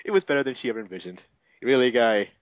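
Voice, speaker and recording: A man's voice: mid-range voice, fast, no echo. Sardonic man reading aloud, dry muffled mic. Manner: sardonic asides, breaks off to swear. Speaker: narrator of a fanfiction reading. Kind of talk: mocking amateur dramatic reading. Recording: dull, lo-fi home mic.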